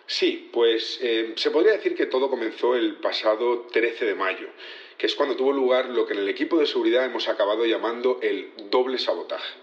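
A man's voice: mid-range voice, fairly quick, reverberant, muffled recording, natural voice. An actor playing an earnest male security chief testifying in an audio drama, polite and explanatory with slight hesitations. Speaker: witness in a trial. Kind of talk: naturalistic audio drama acting.

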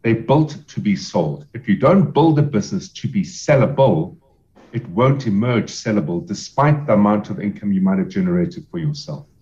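Man, lowish voice, steady expert explainer, slightly dull lo-fi sound. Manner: measured, explanatory, emphatic repetition. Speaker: business sale advisor. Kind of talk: podcast interview answers.

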